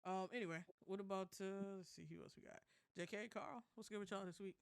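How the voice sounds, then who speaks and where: A man casually hosting a group chat in hesitant, colloquial phrases, on a quiet, noisy feed with clicks. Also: high voice, fairly even intonation, fairly quick, vocal fry, clean tone, dry recording, studio quality. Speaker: host.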